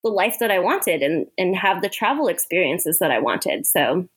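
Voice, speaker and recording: A woman's voice: on the low side, big pitch swings, normal speed, husky. An American woman talking casually as an interview guest, chatty and often laughing as she speaks. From Hawaii, United States. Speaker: interview guest, author and women's travel entrepreneur.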